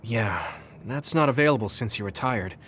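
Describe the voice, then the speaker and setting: Earnest young recruit played by a man, lively intonation. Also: medium pitch, moderate pace, slight background noise, lightly acted. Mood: supportive. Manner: sincere, supportive. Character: earnest, caring young male military recruit. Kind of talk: English video game voice acting.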